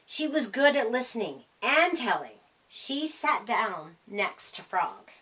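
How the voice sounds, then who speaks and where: A woman narrating a children's storytime with expressive, deliberate phrasing. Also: fairly high pitch, recorded from a distance, a little hiss or hum, poor audio. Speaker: story reader.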